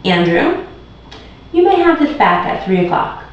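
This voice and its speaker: A woman's voice: mid-range voice, big pitch swings, measured pace. A woman voicing an orderly, weary but kind schoolteacher in a children's storybook. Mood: stern. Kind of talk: children's storybook read aloud. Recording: very echoey room, distant muffled mic.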